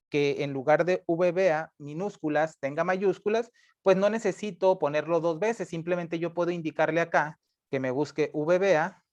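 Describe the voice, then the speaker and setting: A man's voice: a little high, darkish tone. A male instructor, explaining step by step, patiently repeating points and correcting himself with frequent tag questions. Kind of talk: screencast coding lesson. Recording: dull lo-fi mic.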